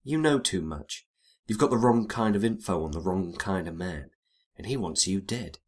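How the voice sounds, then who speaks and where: A man playing a wry, hardboiled 1920s private detective, narrating in a dry, world-weary deadpan. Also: low voice, normal speed. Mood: frank, dry. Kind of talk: first-person noir detective narration and dialogue.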